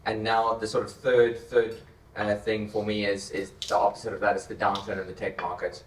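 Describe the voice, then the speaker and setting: A South African man on a conference stage talks in a low, unhurried voice, often repeating words while he works out his point. The sound comes from a distance, full of hall echo. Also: a little hiss or hum. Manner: relaxed, thinking aloud with repeated words. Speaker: panelist, startup co-founder. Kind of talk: conference panel talk. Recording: echoey hall, mic heard from far off.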